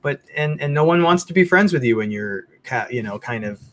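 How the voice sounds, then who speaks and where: A man's voice: medium pitch, animated, fairly quick, a bit bright, slight background noise. Reflective hesitant man in casual talk. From Philippines. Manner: reflective, hesitant, many restarts. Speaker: co-host. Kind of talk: casual interview chat.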